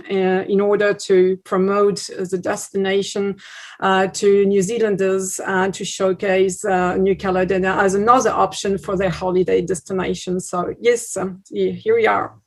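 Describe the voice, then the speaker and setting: A woman's voice: medium pitch, a little mellow. A woman from France with a French accent, a tourism representative speaking as a webinar guest, friendly and hesitant with fillers. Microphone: video call.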